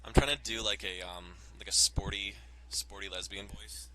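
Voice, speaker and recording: Bright, forceful low-voiced man gaming. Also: fairly even intonation, moderate pace, rough recording. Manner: joking, frequently swearing. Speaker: let's play host. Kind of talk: casual gaming commentary with read-aloud narration.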